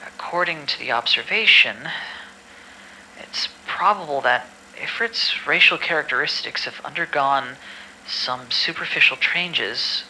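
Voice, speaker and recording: A man's voice: fairly high pitch, lively intonation, measured pace, bright, forceful tone, slight background noise, full character voice, rough recording. A man playing an irritable, cynical staff member who records medical files in an amateur audio drama, shifting between dry formal reading and exasperated grumbling. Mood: formal, clinical.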